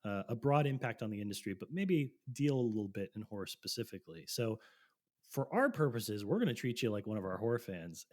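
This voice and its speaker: A man co-hosting a podcast in casual chat, playful and swearing freely, his voice lowered by a lingering cold. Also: a little mellow. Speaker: podcast co-host.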